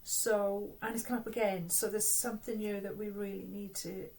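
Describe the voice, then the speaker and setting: A woman's voice: mid-range voice, level tone, moderate pace, creaky, a little mellow, rough recording. A woman with a Welsh accent, giving an earnest, rambling spiritual reading in a casual, reflective way. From Wales. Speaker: spiritual reader. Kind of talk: casual spiritual talk to camera.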